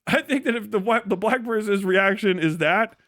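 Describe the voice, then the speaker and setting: A man's voice: high-pitched, normal speed, fairly bright tone, dry recording, clean studio sound. A man live streaming a casual, opinionated monologue in a profane, slangy, rambling and emphatic way.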